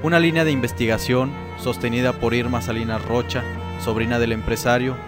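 A Mexican man narrates a radio chronicle in a formal, serious way. His voice is medium in pitch, with plucked guitar music playing loudly behind it. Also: steady tone, brisk.

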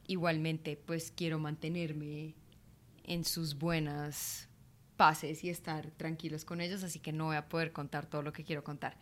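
A woman, co-hosting a casual podcast and telling a funny story in a chatty, animated way. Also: fairly low pitch. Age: 20s. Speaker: podcast co-host.

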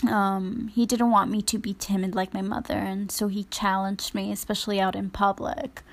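A woman's voice: medium pitch, level tone, moderate pace, gravelly. A woman speaking reflectively about her upbringing, hesitant, with many fillers. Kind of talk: reflective personal testimony.

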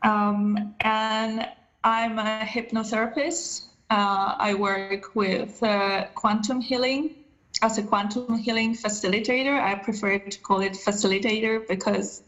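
A woman in her thirties from Poland, a podcast guest answering questions about herself with many pauses and restarts. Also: medium pitch, steady tone, fairly bright tone, lo-fi sound. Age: 30s. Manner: hesitant, many ums and restarts. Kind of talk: casual interview answers.

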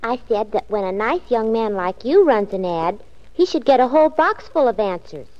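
A devoted, scatterbrained young secretary in a vintage radio drama. Her high voice is earnest, chatty and naive. The sound is dulled, as on a 1940s recording. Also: big pitch swings, normal speed, a little mellow, muffled recording, slight background noise.

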